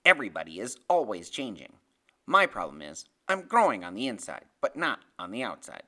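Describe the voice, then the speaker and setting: Man voicing a pompous, brainy little boy, forceful. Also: lowish voice, wildly swooping pitch, fairly quick, pitched below the performer's own voice, full character voice. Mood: grave. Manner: pompous, precise, declaiming big words. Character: small sick brainy boy, grandiose and bossy. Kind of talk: audiobook character voice.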